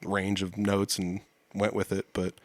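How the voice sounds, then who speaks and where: A man's voice: low-pitched, monotone, measured pace, no room sound. A man hosting a podcast, chatting in an easygoing, self-deprecating way. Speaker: podcast host. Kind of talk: casual chat.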